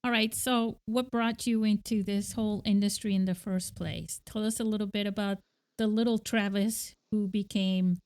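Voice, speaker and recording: A woman hosts a podcast, asking her guest friendly questions and short follow-ups and laughing along. Her voice is smooth and resonant at a middle pitch. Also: fairly even intonation, normal speed, darkish tone. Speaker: podcast host. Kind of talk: casual interview questions.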